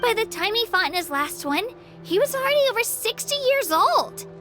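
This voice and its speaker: A woman's voice: very high-pitched, big pitch swings, moderate pace, over music. A woman voicing an eager, modest young girl, an apprentice warrior in a video game, earnest and girlish.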